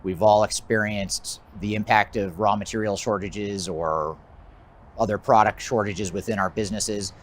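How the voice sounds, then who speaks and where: An American man giving a measured, methodical explanatory presentation as a business consultant, his phrases often trailing off at the end. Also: fairly low pitch, fairly even intonation. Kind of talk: explanatory presentation of a trends list.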